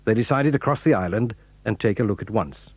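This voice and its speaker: A man narrating a children's audio drama in a measured, clear storytelling manner. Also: on the low side, expressive, darkish tone, dry recording.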